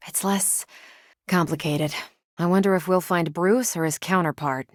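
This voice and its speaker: A woman's voice: fairly low pitch, steady tone, moderate pace, dry recording, lightly acted. A woman voicing a confident, wry superheroine soldier in a video game, with a dry, teasing, self-assured delivery. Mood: steady, conversational.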